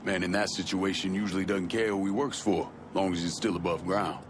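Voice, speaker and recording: Actor as low-voiced cool, calculating crime boss. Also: male voice, steady tone, brisk, background noise, close to an everyday voice. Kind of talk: cinematic game dialogue.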